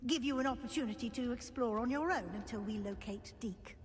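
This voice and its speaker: Woman playing a high-voiced witch professor. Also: fairly quick, close to an everyday voice. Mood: wistful, curious. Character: kindly, brisk female witch professor. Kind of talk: video game character acting. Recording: long hall reverb, faint background noise.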